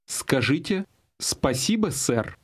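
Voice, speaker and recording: A man's voice: medium pitch, sing-song, takes it slowly, slightly rough. A man narrating a scripted language lesson as the instructor, giving prompts in an instructional manner.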